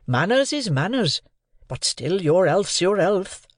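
Guy doing a kindly slow country bloke for a storybook, higher voice, anxious n solemn, rustic grammar. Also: big pitch swings, dry recording, studio quality, exaggerated voice. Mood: aghast, remonstrating.

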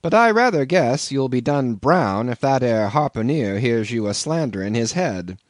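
A man's voice: mid-range voice, lively intonation, moderate pace, no room sound, studio quality, natural voice. A man voicing a folksy, teasing old male innkeeper with a rustic drawl, in an audiobook reading.

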